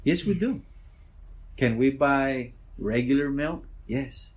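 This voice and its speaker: Loud-voiced middle-aged man. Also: mid-range voice, takes it slowly. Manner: relaxed, rambling with restarts. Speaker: school principal. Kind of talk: casual interview answers.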